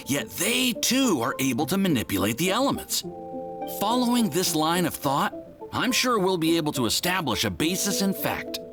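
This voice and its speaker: A man voicing an earnest young research assistant in a video game, enthusiastic and deferential. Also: fairly high pitch. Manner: enthusiastic, rushing, then deferential. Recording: studio game voice with music behind. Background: game music.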